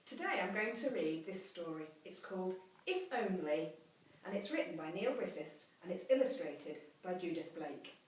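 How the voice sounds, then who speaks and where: Female storybook reader for children, lively swooping pitch, dramatic hushed endings, very echoey room. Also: moderate pace, distant mic. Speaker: teacher reading a story to children. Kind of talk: expressive children's storybook narration. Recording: very echoey room, off mic, faint hiss.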